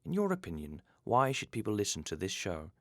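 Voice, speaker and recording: A man hosting an interview from a script, in a formal, measured manner. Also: lowish voice, huge pitch swings, fairly bright tone, dry recording, clean studio sound. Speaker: interview host.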